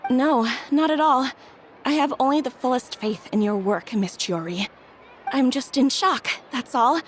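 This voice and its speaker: An actress playing a sweet, grateful, timid young bride-to-be in a video game, with a gentle, polite, earnest manner. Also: female voice, high voice, a little slow, faint music behind.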